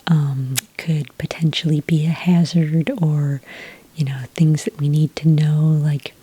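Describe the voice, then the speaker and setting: A breathy, whispering witch played by a woman works the enrollment desk in an ASMR roleplay. Her voice is low and very soft-edged, with a dark, mellow tone. She is patient, gentle and helpful, never rushing her questions. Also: flat delivery. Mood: gently informative. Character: friendly, patient adult witch working as academy enrollment clerk.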